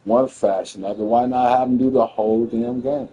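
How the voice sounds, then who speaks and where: A man's voice: mid-range voice, fairly even intonation, moderate pace, dark tone, slightly rough, dull audio. Laid-back young man chatting over a game. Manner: laid-back, swears casually, rambling. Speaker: gamer playing on stream. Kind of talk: casual chat while gaming.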